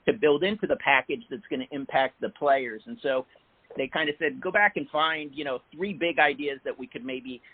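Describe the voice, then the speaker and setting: A man being interviewed as a guest, explaining things in a measured way with frequent "uh"s and "um"s. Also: mid-range voice, a little hiss or hum. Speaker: guest, sports executive. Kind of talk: interview answers. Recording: phone-like narrow band audio.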